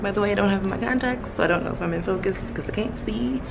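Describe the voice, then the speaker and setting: A woman's voice: medium pitch, fast, creaky, very noisy. A woman in her thirties talking casually to a handheld camera on a cruise ship, chatty with self-mocking asides. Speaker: vlogger. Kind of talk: casual vlog talk to camera. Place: cruise ship cabin and hallway. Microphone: handheld camera at arm's length.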